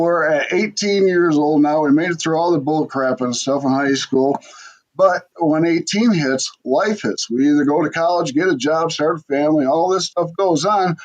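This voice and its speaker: A man hosting an interview, chatting in a relaxed and encouraging way. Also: a little high, poor audio. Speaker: interviewer host. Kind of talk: casual interview chat.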